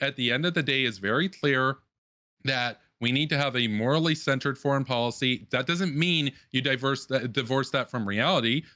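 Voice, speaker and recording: A man's voice: medium pitch, pushed and bright. A man giving an emphatic closing statement in an online political debate, repeating phrases to press his point.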